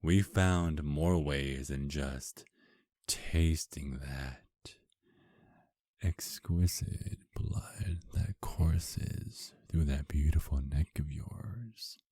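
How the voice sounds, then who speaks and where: A man's voice: a bass voice, drawn-out words, mellow, husky, no room sound, full character voice. A man playing an affectionate, teasing vampire husband in an intimate ASMR romance roleplay, murmuring tenderly and flirtatiously. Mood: flirty, sensual.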